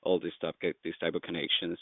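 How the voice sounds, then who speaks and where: A man's voice: low-pitched, monotone, fast, dry recording. Young man on a tinny phone call. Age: 20s. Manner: friendly, hesitant, filler-heavy. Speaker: real estate wholesaler cold calling. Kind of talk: casual phone conversation.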